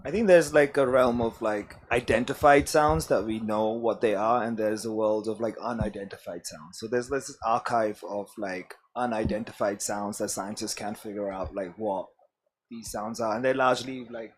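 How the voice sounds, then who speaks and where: A man's voice: fairly low pitch, fairly even intonation, normal speed, a little hiss or hum. A man talking casually on a panel, padding his speech with "like" and trailing off. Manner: casual, lots of "like". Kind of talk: panel discussion.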